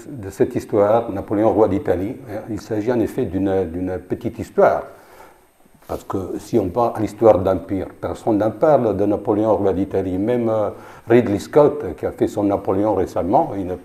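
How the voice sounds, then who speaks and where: A man's voice: fairly low pitch, warm tone, reverberant. A man with an Italian accent giving a history talk in French, a second language, with many restarts, repeated words and fillers. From Italy. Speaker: lecturer. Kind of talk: informal history lecture.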